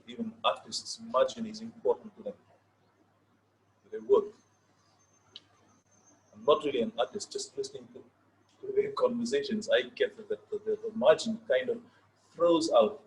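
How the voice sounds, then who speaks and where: A man from Fiji with a Fijian accent, a native Fijian speaker, giving an informal lecture. He pauses often, repeats words and checks in with his listeners. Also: mid-range voice, low-quality recording. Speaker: session leader.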